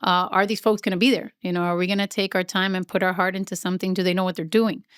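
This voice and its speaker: A woman's voice: on the low side, little pitch movement, fast, clear, resonant tone, no room sound, clean studio sound. A middle-aged woman, a nonprofit CEO, talking casually in an interview, chatty and self-deprecating.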